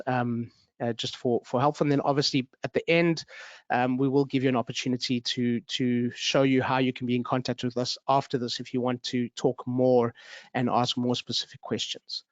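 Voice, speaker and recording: A man's voice: medium pitch, no echo, lo-fi sound. Man hosting a webinar. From South Africa. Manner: friendly, rambling, many fillers and restarts. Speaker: webinar host, realtor. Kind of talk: online webinar presentation.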